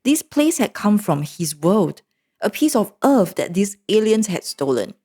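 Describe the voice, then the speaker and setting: A woman narrating a story recap in an expressive, animated storytelling manner. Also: mid-range voice, a little slow, slightly rough.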